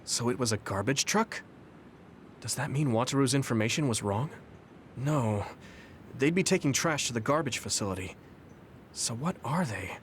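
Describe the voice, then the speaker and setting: A man playing an earnest, caring young soldier in a video game, speaking sincerely and clearly. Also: mid-range voice, animated, normal speed, slight background noise, lightly acted. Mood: resolute, puzzled. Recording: background music under dialogue. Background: game soundtrack music.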